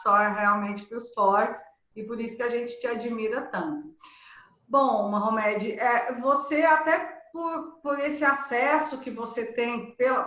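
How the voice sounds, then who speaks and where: A woman's voice: on the high side, pushed and bright. Hesitant woman host on an echoey call. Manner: hesitant, many fillers and restarts, reflective. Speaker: host and interviewer. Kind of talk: interview hosting. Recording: echoey room, dull lo-fi sound.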